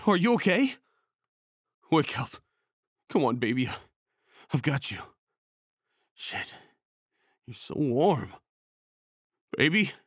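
A man plays a tender, worried boyfriend in an ASMR comfort roleplay. His voice is husky and hushed, and he takes his time with each soothing phrase. The recording is close and dry. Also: medium pitch, no echo. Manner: hushed, soothing, breathy sighs and shushing. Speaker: ASMR audio roleplay performer.

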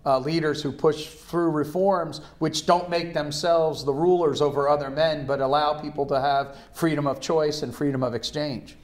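A man's voice: on the high side, normal speed, few pauses. A middle-aged man, a guest economist, talking animatedly in a TV studio interview, gesturing as he tells stories. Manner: animated, gesturing storyteller with restarts. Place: TV studio set, seated in armchair.

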